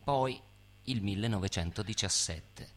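A man narrating a dramatic literary stage piece with measured, emphatic phrasing. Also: on the low side. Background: guitar music.

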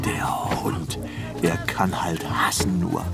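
A man's voice: sits low, steady tone, slow pace, vocal fry, loud music behind the voice, full character voice. A man playing a wry, rueful old poet who muses aloud with self-mockery in a radio play. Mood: rueful musing.

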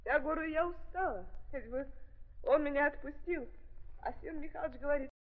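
A woman's voice: high-pitched, brisk, lightly acted. An actress playing a weary, frightened young woman on trial, speaking plainly and insistently in 1930s stage radio style on an old recording. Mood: subdued, recounting. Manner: plain simple speech, repetitive insistent denials. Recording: tinny, narrow-band 1930s archive recording.

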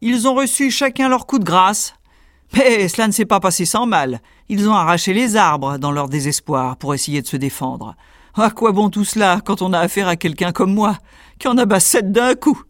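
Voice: mid-range voice, normal speed, dry recording, clean studio sound, close to an everyday voice. A reader doing a bragging little tailor. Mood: boastful. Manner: cocky, self-satisfied bragging. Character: boastful cunning little male tailor.

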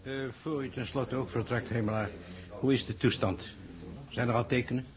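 A man's voice: mid-range voice, fairly even intonation, moderate pace, lightly acted. An actor playing a troubled male official in a 1960s Dutch radio drama, hesitant and pensive as he questions experts. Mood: businesslike, questioning.

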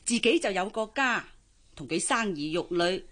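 A woman's voice: mid-range voice, lively intonation, moderate pace, fairly bright tone. A woman acting in a radio drama as an older, well-meaning housemaid with a Hong Kong accent, chatty and coaxing as she gives advice. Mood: concerned, advising. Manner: coaxing, advice-giving, cheerfully resigned.

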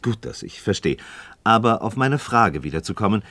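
An actor playing an inquisitive, skeptical but courteous friend in a radio drama, speaking in a deliberate, questioning way. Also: male voice, lowish voice, very animated, sharp tone, no echo, full character voice. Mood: curious. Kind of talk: scripted philosophical dialogue in German radio drama.

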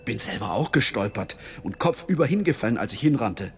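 A man acting a blunt, self-satisfied police constable in a German radio drama, telling things in a halting way. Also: mid-range voice, animated, husky. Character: working-class police constable, matter-of-fact, self-satisfied about grisly work.

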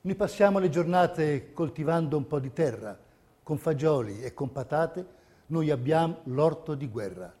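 A man's voice: on the high side, expressive, moderate pace, mellow. A man playing a proud little fascist schoolboy on stage, reciting rhymed verse in an eager, sing-song, declamatory way. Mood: cheerful.

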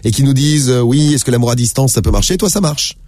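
A man's voice: medium pitch, fast, darkish tone, no echo. A man from France hosting live talk radio in an informal, teasing banter style. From Saint-Étienne, France. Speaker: radio host. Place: radio studio. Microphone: studio microphone.

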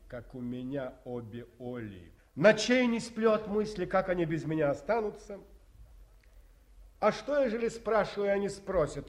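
A stern army colonel. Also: male voice, sits high. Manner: sardonic storyteller, swings between hush and bark. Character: stern male army colonel, middle-aged, commanding. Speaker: actor in a radio play. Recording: 1950s studio radio recording.

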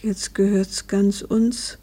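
An actress playing a devoted, weary, aging wife in a breathy, hushed voice, in a 1950s German radio drama. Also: female voice, mid-range voice, flat delivery, slow pace. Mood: hushed grief. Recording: old 1950 radio production.

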